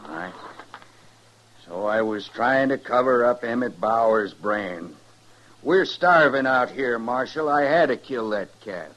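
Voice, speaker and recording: A hard, sullen frontier farmer with a middle-pitched voice and rural American accent. He speaks curtly and defensively, in the manner of vintage western radio drama. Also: expressive, slow pace, a bit bright, rough recording. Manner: curt, sullen, coarse. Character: hard, defensive, bitter starving homesteader man. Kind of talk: old-time radio western acting.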